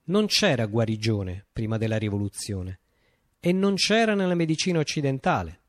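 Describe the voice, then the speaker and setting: Man performing a visionary revolutionary leader, theatrical radio monologue, grandiose and poetic, very varied pitch, dry close sound. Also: very animated, dry recording, lightly acted. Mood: grand, reflective. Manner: declamatory, with deliberate dramatic pauses.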